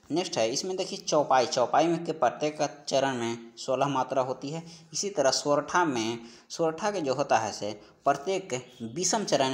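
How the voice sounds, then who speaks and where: Man teaching, repeating his key points. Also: medium pitch. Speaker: teacher of Hindi for exam preparation. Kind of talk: instructional lesson, working through exam questions.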